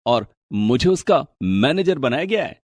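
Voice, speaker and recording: An actor playing a young married office worker, casual and brisk, in an animated moral story. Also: male voice, mid-range voice, no room sound. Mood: explaining.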